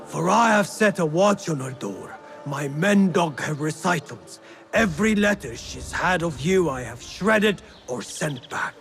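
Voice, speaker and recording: A haughty, possessive patron with a very high voice for a man. He is pompous and indignant, in the theatrical style of a game cutscene. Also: expressive, measured pace, gravelly, fairly bright tone, soft background music, heavily acted. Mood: scornful. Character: haughty, possessive rich male patron, quick to anger. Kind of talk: theatrical video game acting.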